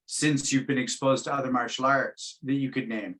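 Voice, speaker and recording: A man's voice: mid-range voice, fairly even intonation, moderate pace, fairly bright tone. A man hosting a podcast, asking the guest questions in a casual chat. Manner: asks questions, brief backchannels. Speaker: podcast host.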